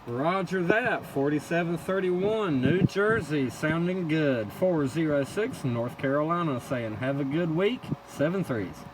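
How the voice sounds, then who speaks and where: Guy doing cb contacts, short call-sign bursts, kinda high voice, tons of noise. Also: animated, a little slow, hardly any pauses. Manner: clipped call-sign phrases. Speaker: CB radio operator. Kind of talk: CB radio contact chatter. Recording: very loud vehicle-like background noise.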